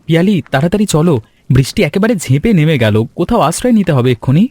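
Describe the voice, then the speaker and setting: A man's voice: fairly high pitch, animated, rapid-fire, warm tone, dry recording. A man voicing an earnest, dutiful young married son in a cartoon story. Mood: hurried.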